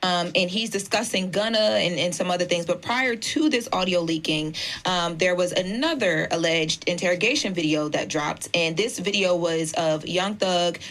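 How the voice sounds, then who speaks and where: Female radio news presenter, slightly low pitch, conversational, careful and explanatory. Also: normal speed, hardly any pauses, a bit bright. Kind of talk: conversational entertainment news report.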